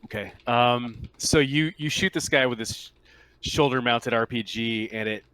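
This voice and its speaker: A man running a tabletop roleplaying game, describing the action in a halting, matter-of-fact way. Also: medium pitch, a bit bright, clear, resonant tone, poor audio. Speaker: game master.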